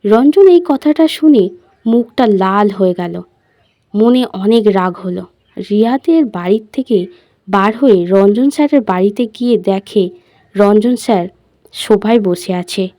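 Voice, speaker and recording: A woman's voice: medium pitch, lively intonation, a little slow, mellow, no room sound, clean studio sound. A woman reading a scripted love story as its narrator.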